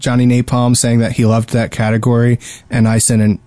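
Bantering male podcast co-host, mid-pitched. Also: monotone, brisk, mellow, dry recording. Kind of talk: casual banter.

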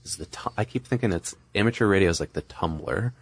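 A man's voice: low-pitched, expressive, quick pace, breathy edge, dry recording. A man co-hosting a podcast, explaining history in a casual, discursive, conversational way. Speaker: podcast co-host.

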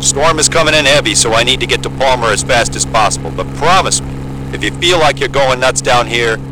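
A man's voice: medium pitch, expressive, a little fast, close to an everyday voice. Wry helicopter pilot played by a man, engine roaring. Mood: casual, advising. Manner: plain-spoken, joking, laid-back. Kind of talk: naturalistic audio drama dialogue. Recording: loud engine hum of a helicopter cabin.

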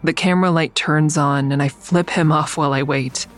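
A woman's voice: low-pitched, steady tone, moderate pace, soft background music, lightly acted. An actress in an audio drama playing an exhausted, defiant woman who tells of her ordeal in a grim, blunt way. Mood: tense, bleak. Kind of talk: first-person dramatic narration in audio drama.

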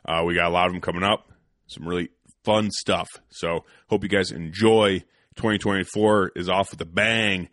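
Deep laid-back male podcast host. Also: fairly even intonation, normal speed, fairly bright tone, no room sound, studio quality. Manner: laid-back, swears casually, laughs often. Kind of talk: casual podcast chat.

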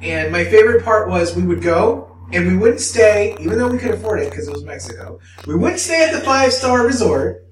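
A man's voice: high voice, animated, a little fast, a little hiss or hum. A man co-hosting a podcast in casual chat, blunt and rambling, swearing freely. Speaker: podcast co-host. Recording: echoey room, mic at a distance.

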